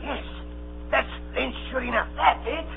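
An actor playing a rough, excitable pirate crewman in old radio drama style. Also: extremely high pitch, a little slow, heavily acted. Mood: excited. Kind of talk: 1930s radio drama acting. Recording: tinny old radio broadcast, music, hum.

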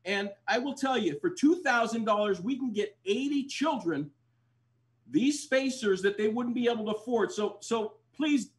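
A man's voice: extremely high pitch, lively intonation, normal speed. An older man, a doctor whose first language is Spanish, making an urgent fundraising appeal over a video call and repeating his pleas. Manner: urgent, pleading, repeats phrases. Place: indoors, plain wall, home video call. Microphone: webcam on video call.

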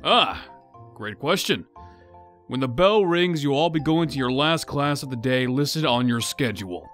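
A man voicing a cheerful, orderly high school teacher who announces and encourages, in an animated roleplay. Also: unhurried, soft background music. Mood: cheerful, instructing.

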